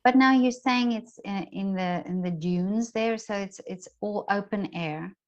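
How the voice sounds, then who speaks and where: A woman's voice: medium pitch, unhurried, highs cut off. A woman hosting a casual interview, feeling her way through questions in tentative, searching phrases. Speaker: interviewer, host.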